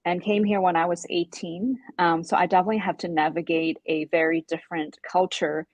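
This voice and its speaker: A woman's voice: fairly low pitch, steady tone, fairly quick, no room sound. A woman from Hong Kong, a modest guest on a video call interview who laughs easily.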